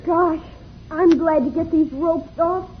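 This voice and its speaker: High, frank youngster on tinny radio. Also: female voice, slow. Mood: grumbling. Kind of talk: old-time radio serial acting. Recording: tinny noisy old radio recording.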